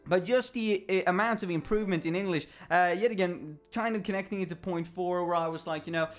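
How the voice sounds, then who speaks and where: Rambling Swedish-accented man, fairly high voice. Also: fairly quick, faint music behind. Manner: rambling, self-correcting, lots of fillers and restarts. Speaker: vlogger. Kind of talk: casual talking-head reflection.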